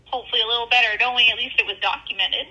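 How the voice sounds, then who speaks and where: A woman working a helpline, speaking gently and hesitantly with many fillers on a phone call. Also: fairly high pitch, steady tone, racing. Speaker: helpline worker.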